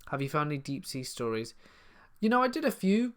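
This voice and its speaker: A man's voice: a little high, sing-song, a little fast, fairly bright tone. A man from the south of England with a southern English accent, hosting a live stream Q&A and casually reading out viewer comments before answering them. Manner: casual, chatty, reads comments aloud then answers. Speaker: horror story channel host.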